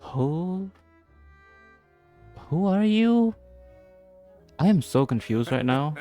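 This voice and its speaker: A man's voice: a little high, big pitch swings, very slow, mellow. A man giving casual reaction commentary over a video game, muttering short remarks as he plays. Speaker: gaming video maker. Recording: game music behind the voice.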